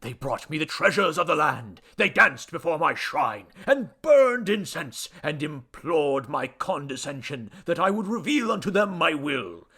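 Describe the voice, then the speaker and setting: Man voicing a pompous storybook idol, ancient deity, irritable, gravelly, booming, formal, highly animated. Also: a little slow, few pauses, heavily acted. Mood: grandiose, boastful. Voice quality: rusty booming foghorn bass. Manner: grandiose, formal, declaiming.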